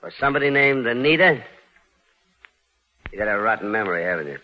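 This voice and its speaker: A man's voice: fairly low pitch, animated, fairly quick, lightly acted. An American actor playing a menacing gunman in a 1940s radio crime drama, taunting in tough-guy slang. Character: menacing male gunman shaking down a crook. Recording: muffled old radio broadcast.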